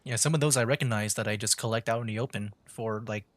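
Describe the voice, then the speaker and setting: A man's voice: on the low side, quick pace, noisy background. A man streaming a game with casual, laid-back, chatty commentary. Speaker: gaming streamer. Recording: game music behind voice.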